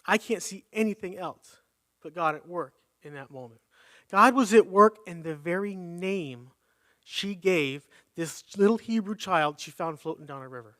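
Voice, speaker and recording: A man's voice: high voice, moderate pace, a bit bright. A man preaching a conversational sermon at a live church service, reading scripture and thinking aloud as he corrects himself.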